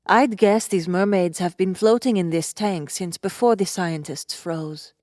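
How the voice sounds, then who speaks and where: A woman's voice: on the low side, a little mellow, dry recording, clean studio sound, close to an everyday voice. A woman playing a cool, deadly female warrior, speaking formally and matter-of-factly in a cinematic audiobook. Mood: grave, informative. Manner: formal, measured, matter-of-fact.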